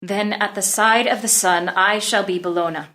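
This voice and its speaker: A woman's voice: mid-range voice, fairly even intonation, normal speed, sharp tone, natural voice. An actress playing a bold, lofty noblewoman in a read-through of a classical play, declaiming grandly. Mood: exalted, defiant. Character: bold, lofty warlike noblewoman vying with goddesses. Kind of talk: unrehearsed stage play reading.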